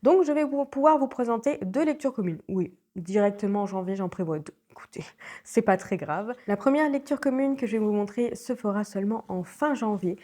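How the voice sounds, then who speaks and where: A young French woman talks to camera from her home with a congested voice from a cold. Her tone is mellow and dark rather than bright, and she rambles cheerfully, with frequent fillers and little laughs. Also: mid-range voice, expressive, fairly quick. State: stuffy nose from a cold. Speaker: book vlogger. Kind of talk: casual chat to camera. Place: at home, indoors.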